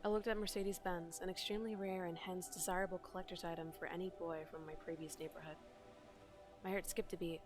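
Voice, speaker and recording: A woman's voice: fairly low pitch, fairly even intonation, fast talker. A woman streamer reading game dialogue aloud and translating it, in a dramatic narrating manner. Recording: streamed with game music behind.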